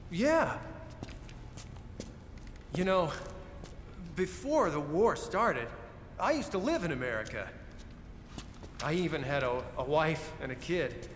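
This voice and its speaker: An actor playing a friendly, lonely Soviet prison guard in a cinematic game, earnest, hesitant and chatty. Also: male voice, fairly high pitch, very animated, slow pace, pauses often. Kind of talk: cinematic video game acting. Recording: echoey reverberant cell, faint noise.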